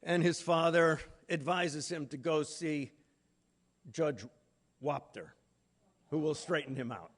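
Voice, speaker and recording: A man giving a literary lecture in a deliberate, emphatic manner with pauses between phrases. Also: on the high side. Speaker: conference speaker, literary lecturer. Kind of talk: literary lecture with read-out quotations.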